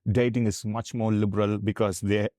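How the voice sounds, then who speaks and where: A man's voice: on the low side, level tone, normal speed, darkish tone, no room sound, studio quality. A man from South India with a South Indian accent and Tamil as his first language, explaining earnestly as a podcast guest. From Tamil Nadu, South India. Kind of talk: candid explanatory conversation.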